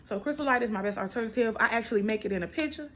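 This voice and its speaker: A woman's voice: a little high, very fast, fairly bright tone. An American woman in her twenties chatting casually to viewers, emphatic and repeating phrases for stress. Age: 20s. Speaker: vlogger. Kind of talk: casual personal vlog chat to viewers.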